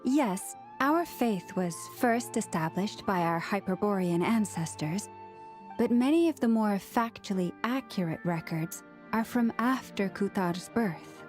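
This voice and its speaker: A woman's voice: medium pitch, animated, unhurried, darkish tone, close to an everyday voice. A woman playing a composed, earnest, scholarly priestess in a video game, speaking formally and precisely. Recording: music behind the voice. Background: background music.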